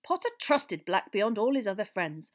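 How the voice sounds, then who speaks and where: Woman as a pompous, gruff older government minister. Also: mid-range voice, big pitch swings, normal speed, pitched above the performer's own voice, lightly acted. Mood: grave, confiding. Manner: pompous, confiding.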